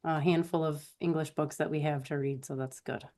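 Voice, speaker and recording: A woman's voice: sits low, steady tone, a little fast, warm tone. A woman chatting casually and informally, peppering her sentences with filler "like". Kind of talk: casual chat.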